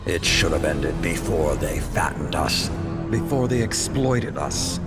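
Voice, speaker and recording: A man playing a grim, vengeful old warlord in a video game cinematic, speaking slowly and solemnly with a gravelly voice. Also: very deep voice, expressive, heavily acted. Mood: bitter regret. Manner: slow, solemn, menacing. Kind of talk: dramatic cinematic narration. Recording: loud cinematic score behind voice. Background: loud music.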